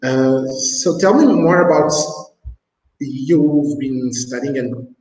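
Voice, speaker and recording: A man hosts an online talk show in a slow, unhurried way, pausing and restarting his sentences often. His voice sits at an ordinary pitch. The call is recorded in a room with a noticeable echo. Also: a little mellow. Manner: slow, halting, with many restarts. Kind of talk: casual interview hosting. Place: Mozambique.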